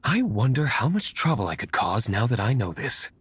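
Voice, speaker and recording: A man playing a composed, brooding young aristocrat in a video game, speaking formally and in a measured way. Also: mid-range voice, very animated, slightly rough. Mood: explaining. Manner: formal, measured, well-spoken. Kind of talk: video game character acting.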